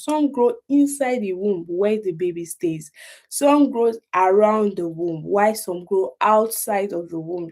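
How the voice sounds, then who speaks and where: A woman's voice: medium pitch, mellow. A woman with a Nigerian accent hosting a casual live explainer, talking emphatically, repeating key points and checking in with her listeners. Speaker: health talk host.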